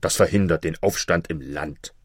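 A male narrator puts on a trusting king from a storybook. The voice drops low and moves in a measured way, kindly and sure of itself. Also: no echo, studio quality. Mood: dismissive.

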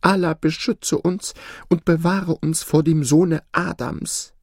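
A woman's voice: sits high, a little slow, warm tone, no echo, clean studio sound, pitched above the performer's own voice. A woman voicing a frightened female goose in a fairy tale, anxious and dramatic as she tells her tale. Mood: panicked.

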